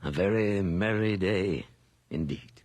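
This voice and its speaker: An actor playing a courteous, shrewd and compassionate judge in a radio drama, speaking in a measured, thoughtful way. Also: male voice, low-pitched, no room sound. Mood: touched, hesitant. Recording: loud background ambience noise.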